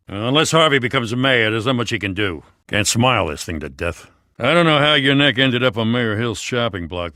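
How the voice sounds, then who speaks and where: An actor playing a world-weary, honest city cop in a video game, wry, dutiful and matter-of-fact. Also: male voice, fairly low pitch, bright, forceful tone, dry recording, clean studio sound.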